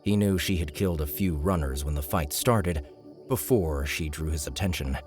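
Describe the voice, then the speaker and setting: A man with a very low voice narrates a fantasy audiobook. He reads in a scripted storytelling style. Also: normal speed, a little mellow, faint music behind.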